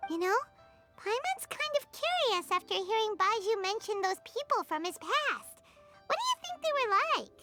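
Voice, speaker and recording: A woman's voice: very high voice, heavily acted. A woman voicing a tiny floating female sprite companion, bubbly and talking about herself in the third person. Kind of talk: game voice acting. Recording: game audio with music behind.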